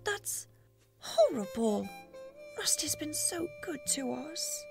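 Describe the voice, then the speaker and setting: A woman's voice: sits high, sing-song, takes it slowly, over music, exaggerated voice. A woman playing a tearful, worried young friend. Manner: breathy, hushed and worried.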